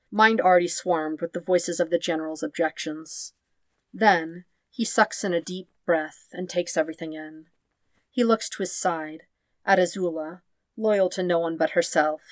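Female narrator for dramatic fiction: lowish pitch, measured phrasing, very dry recording. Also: dry recording. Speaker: podfic narrator. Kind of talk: scripted narration of fan fiction.

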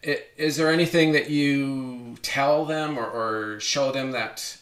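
A man's voice: medium pitch, takes it slowly, fairly bright tone. A man hosting a podcast conversation, rambling with many restarts and self-corrections. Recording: echoey room.

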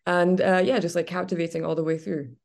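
A woman's voice: lowish voice, little pitch movement, brisk, gravelly, a little mellow. A woman on a community radio show reviewing a film in casual, enthusiastic talk with many fillers. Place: radio studio.

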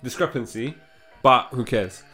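A man's voice: mid-range voice, measured pace, faint music behind. A man hosting a casual podcast chat with friends, laughing often and trying to get the show started. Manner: lively, laughing, steering the group. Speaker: podcast host. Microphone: podcast mic.

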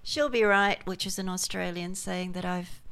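A woman interviewing a guest in a relaxed conversation, engaged and quick with murmured replies. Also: fairly low pitch, vocal fry, smooth. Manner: engaged, frequent backchannel murmurs.

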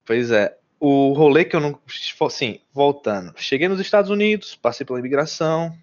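A man's voice: a little high, animated, fairly quick, highs cut off. A Brazilian man casually recounting his trip, rambling with restarts and slang. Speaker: guest telling about living abroad. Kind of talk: casual chat.

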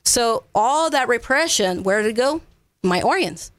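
A woman's voice: on the high side, lively intonation, measured pace, no echo, studio quality. A woman from Ecuador, a native Spanish speaker, talking earnestly as an interview guest and explaining her work in a conversational way with frequent check-in tags. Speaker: guest, massage therapist.

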